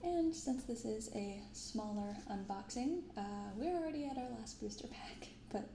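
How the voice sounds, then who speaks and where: Soft gentle asmr lady, bit of vocal fry, kinda roomy with some background noise. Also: fairly high pitch, animated. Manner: gentle, unhurried reading and commentary. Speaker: card unboxing video host. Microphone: binaural microphone close up.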